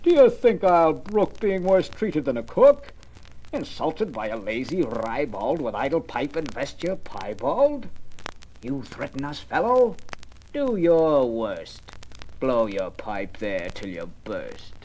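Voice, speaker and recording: A man's voice: medium pitch, sing-song, slow pace, a little mellow. A man voicing a haughty, indignant mayor in a storybook verse tale, pompous and scornful in a theatrical way. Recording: noisy old vinyl storybook record.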